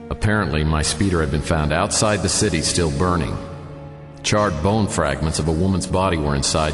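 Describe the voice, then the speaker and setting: An actor playing a wry, world-weary private detective, narrating a radio drama in a deadpan, sardonic way. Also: male voice, sits low, echoey room, in a large hall. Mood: wry, tense.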